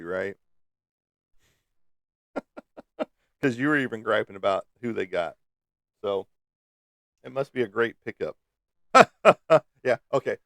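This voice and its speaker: A man's voice: lowish voice, gravelly, a bit bright, no room sound, clean studio sound. A man hosting a casual chat who teases a viewer about sports and chuckles often as he talks. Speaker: host. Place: at a desk indoors.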